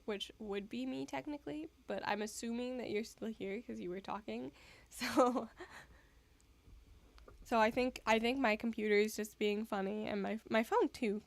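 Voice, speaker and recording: Woman streamer, casual live chat, soft and giggly, rambles, unhurried delivery. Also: fairly high pitch.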